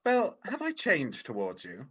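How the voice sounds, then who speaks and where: An actor playing a defensive, anguished old male miser in a radio-style drama read over a video call, halting and pleading. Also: medium pitch, wildly swooping pitch, a bit bright, husky, heavily acted. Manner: halting, pleading, swings in emphasis. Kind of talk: rehearsed radio-script drama reading. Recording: dull lo-fi video call audio.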